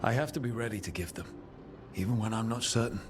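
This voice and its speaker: A man's voice: lowish voice, fast talker, background noise, natural voice. Earnest young hero leader played by a man. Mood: uncertain, earnest. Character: young male leader of heroes, earnest, resolute. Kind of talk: dramatic video game acting.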